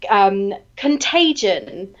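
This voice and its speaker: A British woman co-hosting a casual remote chat, animated and emphatic, with a slight lingering cough. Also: medium pitch, extremely slow, muffled recording. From United Kingdom. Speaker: podcast co-host.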